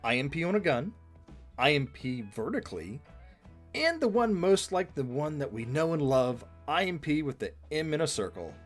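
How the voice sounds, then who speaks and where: A man co-hosting a conversational commentary on an animated episode, with an animated, bantering delivery. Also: a little high, moderate pace, faint music behind. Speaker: co-host of fan commentary video.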